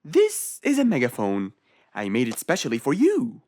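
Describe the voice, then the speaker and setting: A woman voicing a clever, kindly male fox inventor in a children's animated bedtime story, speaking in an expressive, helpful way. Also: sits low, normal speed, voice pushed down, heavily acted. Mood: helpful, earnest.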